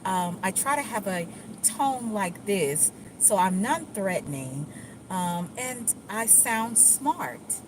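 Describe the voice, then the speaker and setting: A woman's voice: mid-range voice, sing-song, takes it slowly. A middle-aged woman with a Dallas accent, chatting in a rambling, laid-back way with lots of fillers and restarts. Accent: Dallas, Texas. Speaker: vlogger. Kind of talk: casual chat while driving. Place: car. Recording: faint vehicle noise.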